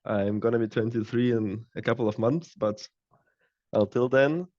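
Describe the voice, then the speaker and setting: A man's voice: mid-range voice, normal speed, a little mellow, dry recording, highs cut off. A man in his early twenties from Lithuania, speaking English with a Lithuanian accent, answering interview questions hesitantly as he searches for words. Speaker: startup co-founder, podcast guest.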